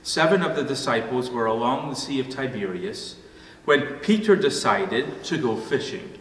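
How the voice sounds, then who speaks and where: A middle-aged or older man, a pastor, delivering a sermon with measured, deliberate phrasing. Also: mid-range voice, animated, a bit bright. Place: church room at a wooden pulpit. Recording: echoey church hall, distant mic, faint noise.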